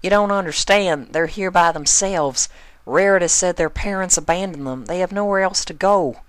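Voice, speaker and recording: A man's voice: high voice, normal speed, fairly bright tone, no room sound. A man voicing an earnest, plain-spoken young female farm pony in a cartoon fan story, with an urgent manner.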